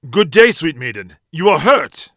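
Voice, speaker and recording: A man's voice: a little high, big pitch swings, unhurried, a bit bright, no echo, studio quality, full character voice. A man playing a brooding, gallant medieval undead knight with formal, archaic, chivalrous speech in a comic dub. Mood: concerned, courtly.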